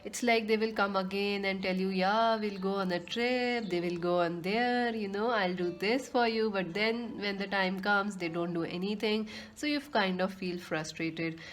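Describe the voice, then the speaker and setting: A woman's voice: medium pitch, fairly even intonation, moderate pace, few pauses. A woman with a sweet voice doing a casual tarot card reading, speaking earnestly to viewers and calling them friends. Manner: earnest, repeats herself, addresses listeners as friends. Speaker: tarot reader.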